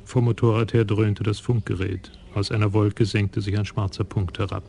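A man narrating a radio crime drama in an even, measured storytelling manner. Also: on the low side, creaky.

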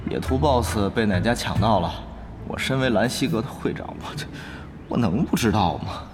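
An actor playing an earnest, easily flustered guild leader in an online game, stammering and exasperated, in a Chinese radio drama. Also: male voice, fairly low pitch, lively intonation, moderate pace, over music, lightly acted. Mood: flustered, defensive.

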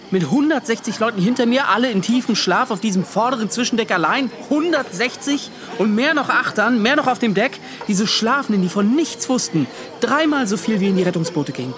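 An actor playing a tormented, defensive ship's officer, recounting in an agitated, pleading and halting way in a radio drama. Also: male voice, high-pitched, animated. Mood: defensive, anguished. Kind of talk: radio drama acting, confessional monologue.

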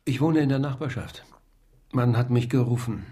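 Casting: man as learned physician in period radio crime play, mid-pitched, rough-edged voice, clinical and measured. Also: mellow, natural voice. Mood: grave, measured. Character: sober, learned male doctor in old Paris. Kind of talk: radio crime drama acting.

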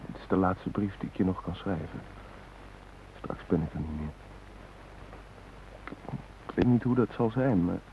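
Condemned hostage, low voice, intimate, anguished, pleading, radio drama monologue, hissy narrow-band old recording. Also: male voice. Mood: resigned, grieving. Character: doomed male hostage voicing a last letter to his beloved.

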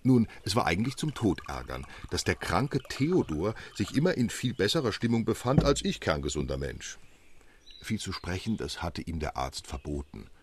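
An actor playing a grumbling, ironic literary gentleman in a radio drama, speaking with wry, theatrical emphasis. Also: sits low, very animated, normal speed, a little hiss or hum. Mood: wry exasperation.

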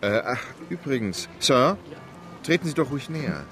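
An actor playing a composed, precise English gentleman in a radio play, speaking in a calm, clipped and formal way. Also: mid-range voice, moderate pace, over music, close to an everyday voice.

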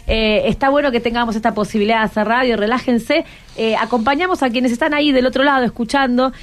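A woman's voice: fairly high pitch, quick pace. A woman with an Argentine accent hosting a casual radio talk from a studio, asking short encouraging questions. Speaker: radio host.

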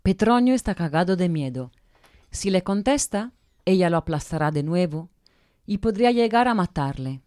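A woman's voice: lowish voice, animated, moderate pace, darkish tone, lightly acted. A woman playing a blunt, sardonic commentator in an audio drama, speaking emphatically and critically.

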